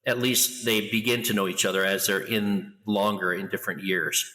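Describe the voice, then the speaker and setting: Loud middle-aged guy telling stories, deep but bright voice, long reverb tail. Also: moderate pace. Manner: loud, animated storyteller. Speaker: guest, international school educator. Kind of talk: conversational interview.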